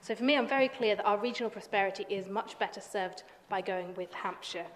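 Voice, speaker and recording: A woman councillor making a measured, argumentative case in a council debate, with a few restarts. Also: mid-range voice. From England. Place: council chamber. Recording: chamber with long reverb.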